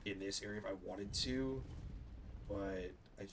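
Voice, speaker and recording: A man's voice: lowish voice, unhurried, noisy background. A man hosting a gaming channel, giving casual, chatty gameplay commentary that rambles with fillers and restarts.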